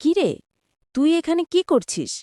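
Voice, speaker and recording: A woman doing a shrill, scolding cruel stepmother. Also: sing-song, moderate pace, warm tone, dry recording, clean studio sound. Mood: threatening. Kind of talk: cartoon voice acting.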